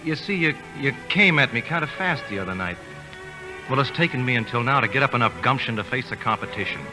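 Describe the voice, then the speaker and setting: An actor playing a sincere, halting young college suitor in an old radio drama. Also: male voice, mid-range voice, animated, over music, lightly acted. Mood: earnest. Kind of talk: 1950s radio drama acting.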